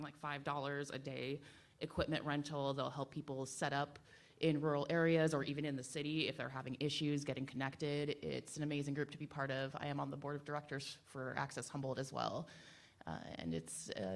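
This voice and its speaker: A woman with a distinctly low-pitched voice speaks spontaneously on a live panel. She sounds like a community radio representative presenting her organization to an audience. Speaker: radio panelist, nonprofit board member. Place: live event at a playhouse.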